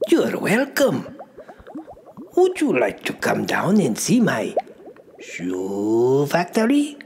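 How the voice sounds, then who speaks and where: A woman plays an eager, oddball water troll from a children's radio play. The voice is low and creaky, swinging widely in pitch as it slowly coaxes. There is clear noise on the recording. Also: huge pitch swings, full character voice. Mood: eagerly inviting. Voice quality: gravelly, creaky. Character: Water Troll shoemaker, odd, eagerly inviting creature. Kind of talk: children's radio theater acting.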